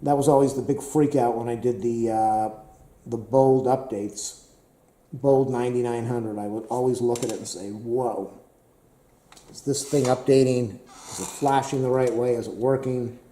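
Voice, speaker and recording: A man talks casually and rambles, repeating himself and filling pauses with "uh." His voice is very dark and mellow and a little hoarse. He sounds like he's in an echoey room. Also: mid-range voice, steady tone. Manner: rambling, repetitive, many fillers. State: slightly hoarse. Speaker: tech vlogger.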